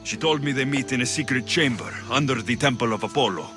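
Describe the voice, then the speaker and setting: An actor voicing a bold, blunt young Spartan mercenary hero in a video game drama, with music behind. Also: male voice, medium pitch, quick pace, natural voice. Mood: determined.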